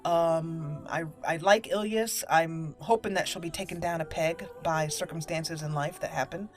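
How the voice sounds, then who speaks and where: A woman's voice: low voice, steady tone, moderate pace. A woman hosting a gaming let's play, chatting casually and thinking aloud as she plays. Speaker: gaming channel host, let's play commentator. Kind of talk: casual gameplay commentary. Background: game music.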